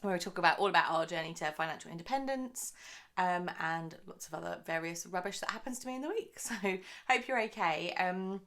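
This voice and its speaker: A woman hosting her own budgeting vlog, a little croaky from the early morning, chatting in a rambling way with lots of fillers. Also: lowish voice, animated, fairly quick, fairly bright tone. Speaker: budgeting channel host.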